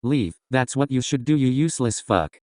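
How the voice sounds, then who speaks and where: A man's voice: mid-range voice, lively intonation, normal speed, darkish tone, a little hiss or hum, lightly acted. A man playing a callous, scornful research boss who gives commanding orders in a scripted audio drama. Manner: commanding, contemptuous.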